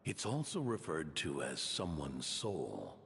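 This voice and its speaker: A man voicing a gentle, philosophical inventor in a video game, measured, earnest and reassuring. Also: a bass voice, huge pitch swings, gravelly, slight background noise, full character voice. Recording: long hall reverb, soft music behind.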